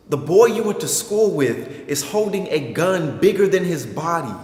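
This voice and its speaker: A young man performing a dramatic spoken-word stage monologue with emphatic, deliberate phrasing and pauses. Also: medium pitch. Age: young adult. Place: theater performance space. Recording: echoey hall with long reverb.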